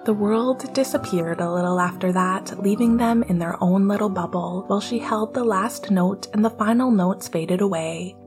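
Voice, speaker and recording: A woman with a soft, breathy voice narrating written fiction as an audiobook-style reader. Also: mid-range voice, normal speed, few pauses, music in the background. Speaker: podfic reader.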